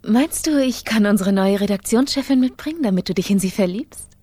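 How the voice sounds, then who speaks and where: Sarcastic needling wife, husky mid voice, dry studio sound, radio play. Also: female voice, mid-range voice, animated, brisk, mellow, no echo.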